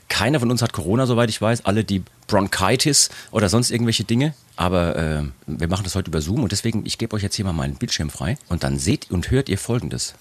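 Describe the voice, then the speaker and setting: A man hosting a podcast over a video call, chatty and self-mocking, his voice hoarse from a cold. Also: sits low. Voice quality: husky. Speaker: podcast host. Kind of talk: casual chat.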